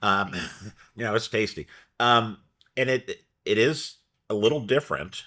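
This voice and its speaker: A man hosting a local food show, interviewing a guest in a relaxed, halting way with frequent restarts. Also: on the low side. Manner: halting, many restarts and fillers. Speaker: show host. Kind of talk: conversational interview.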